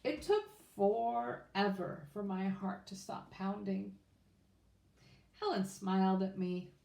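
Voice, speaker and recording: A woman reading a children's storybook aloud in an expressive, informal way. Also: medium pitch, takes it slowly, creaky. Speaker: children's book reader. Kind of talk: read-aloud storybook narration. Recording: echoey room.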